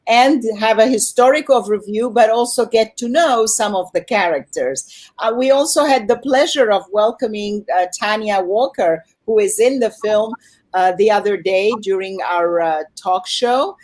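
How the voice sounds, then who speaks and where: A woman's voice: mid-range voice, moderate pace, a little mellow. A woman speaking conversationally as she hosts and moderates a festival question-and-answer session. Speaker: festival host moderating an online Q&A. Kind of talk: conversational event hosting.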